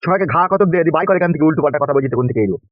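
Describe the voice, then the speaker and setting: A man voicing a gruff, bossy, foul-mouthed authority figure in a comic cartoon, talking coarsely. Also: fairly high pitch, lively intonation, rapid-fire, no room sound, phone-quality sound, natural voice. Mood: angry. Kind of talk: exaggerated comic cartoon dialogue.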